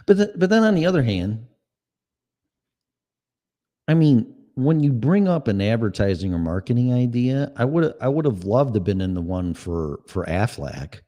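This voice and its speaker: A man with a Chicago accent hosts his own show and rants alone into the mic. He is blunt, sarcastic and swears freely. His voice rises and falls in wide, lively swings at a middle pitch. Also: normal speed. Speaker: podcast host. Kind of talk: solo podcast rant.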